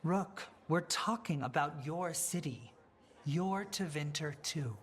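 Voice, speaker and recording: A woman playing a principled, firm political leader of a rebel faction in a video game, measured and earnest. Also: low voice, a little hiss or hum. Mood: stern, firm. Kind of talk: video game drama acting.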